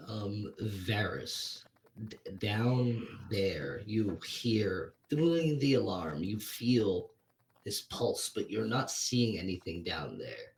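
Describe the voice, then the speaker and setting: Male game master, deep-ish voice, slow and halting descriptions. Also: sing-song, darkish tone, a little hiss or hum, rough recording. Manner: hesitant, searching for words while describing scenes. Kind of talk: narrating a tabletop game.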